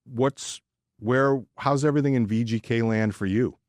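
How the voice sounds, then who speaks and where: A man's voice: medium pitch, moderate pace, darkish tone, dry recording. A man co-hosting a sports podcast, chatting casually in a blunt, crude-humored way. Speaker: podcast co-host.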